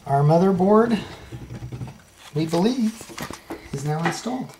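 A father slowly explaining, step by step, how he builds a computer with his son, with halting fillers, recorded at home. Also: sits high, animated, a little mellow. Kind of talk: casual how-to narration. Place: home room with blinds. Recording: noisy home video.

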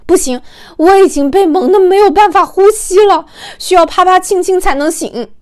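A woman voicing excitable young livestream fans, gushing and teasing in exclamations, for an audiobook. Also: very high-pitched, moderate pace, voice pushed up. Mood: excited gushing.

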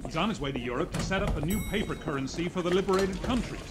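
Casting: gruff, harried American police inspector, higher-pitched than most men, animated and brusque, vintage radio mystery. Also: male voice, quick pace, very noisy. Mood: worried. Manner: brusque, exclamatory. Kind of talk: 1940s radio drama acting.

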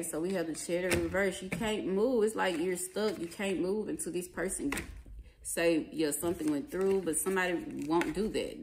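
Casting: woman tarot reader, lowish voice, candid and sweary, colloquial, recorded at home with clear background noise. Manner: blunt, profane, emphatic; colloquial, slangy phrasing. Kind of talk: informal tarot reading, conversational. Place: small indoor living room at a table. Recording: clearly noisy home recording, card clicks.

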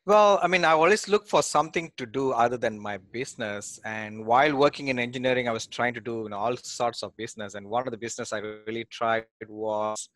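A man's voice: mid-range voice, brisk, hardly any pauses, a bit bright, no room sound. A man in his forties from Malaysia, talking casually as an interview guest over a video call from a home office, with many fillers and restarts. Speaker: guest, real estate investor. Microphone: headset on video call.

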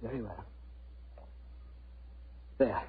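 A man's voice: on the low side, very slow, breathy edge, no echo, heavily acted. An actor playing an anxious, guilty young man, protesting and stammering in 1940s radio style. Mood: nervous. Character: Mister Binion, anxious, guilty young male suspect. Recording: dull vintage radio recording.

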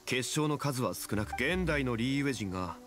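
Anime game scholar, male actor: calm, stately, measured, formal delivery, mid pitch, music underneath. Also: natural voice. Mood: calm, explanatory. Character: calm, dignified, scholarly male consultant, young-looking, ancient gravity. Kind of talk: anime video game voice acting.

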